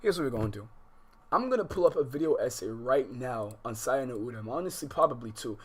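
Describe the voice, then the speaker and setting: A man's voice: mid-range voice, animated, a little fast, a little hiss or hum. An American man hosting a game livestream, switching between slangy, profane, exasperated chat and reading visual novel text aloud. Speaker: livestream host. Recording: music behind the voice.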